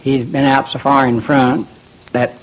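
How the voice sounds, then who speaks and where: A man recounting events in a plain, deliberate way. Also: mid-range voice, level tone. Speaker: storyteller of events. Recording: dull old lo-fi recording.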